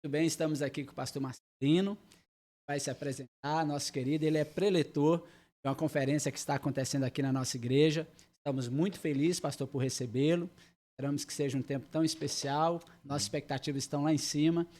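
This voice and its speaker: A man's voice: a little high, fairly even intonation, fast talker, a little mellow. A man hosting a church podcast, welcoming and interviewing a visiting pastor with formal, polite questions. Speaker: podcast host.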